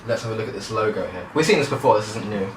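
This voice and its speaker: A man hosting a casual fan chat, quick and jokey, with some swearing. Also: on the low side, roomy sound, far from the mic, a little hiss or hum.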